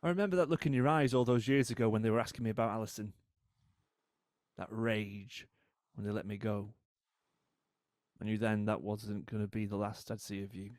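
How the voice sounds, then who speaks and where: An actor playing a cool, needling British man in a drama, a wronged brother with a sardonic, deliberate way of talking. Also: lowish voice, steady tone, a little mellow, natural voice. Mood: cool, knowing. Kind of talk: audio drama acting.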